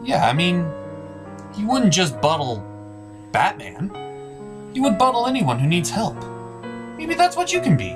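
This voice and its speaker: A performer voicing an opinionated puppet gentleman who hosts scripted comedy cartoon reviews in a blunt way. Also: medium pitch, wildly swooping pitch, a little slow, pauses often, over music. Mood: consoling. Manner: blunt, exasperated, swears freely.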